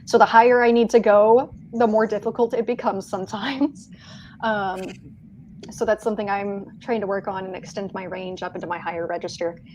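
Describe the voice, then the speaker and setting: A woman with a medium-low voice, answering thoughtfully on a casual video-call panel. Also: steady tone, a little mellow, slight background noise, rough recording. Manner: thoughtful, measured, light laughs. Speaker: voice actor on a panel.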